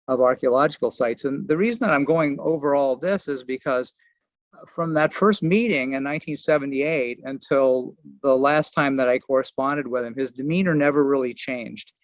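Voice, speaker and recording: A man in his sixties giving memorial remarks over a remote video call, halting and often restarting his sentences. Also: mid-range voice, no echo. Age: 60s. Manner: halting, searching for words with many restarts. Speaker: archaeology and geography professor giving a memorial tribute. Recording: dull lo-fi call audio.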